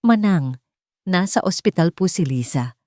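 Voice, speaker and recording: A woman's voice: fairly low pitch, animated, measured pace, dark tone, no echo. Casting: woman playing a wealthy son in audio drama, urgent, blunt, anguished, with dry close sound. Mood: angry pleading. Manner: earnest, urgent, direct. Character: determined, anguished rich son demanding the truth.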